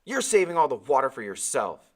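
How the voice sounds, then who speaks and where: A man's voice: mid-range voice, big pitch swings, a little fast, pitched above the performer's own voice, lightly acted. A man voicing an impatient, defiant young boy with an insistent, complaining delivery, as a storybook character. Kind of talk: storybook character voice in a read-aloud.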